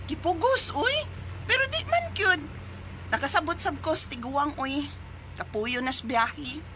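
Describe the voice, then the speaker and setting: A woman acting a lively, caring single mother of thirty in a Cebuano radio drama, speaking expressively with a Cebuano accent. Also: high voice, unhurried, noisy background. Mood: bantering. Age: 30s. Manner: expressive, animated. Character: 30-year-old single mother, lively, caring, worried about her child. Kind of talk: Cebuano radio drama, letter narration and dialogue.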